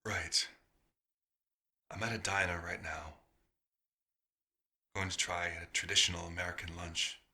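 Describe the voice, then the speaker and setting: A man's voice: low-pitched, flat delivery, a little slow, pauses often, pushed and bright, full character voice. A man playing a bewildered, hungry vampire doctor turned human, speaking formally and hesitantly in an audio roleplay. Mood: earnest, polite.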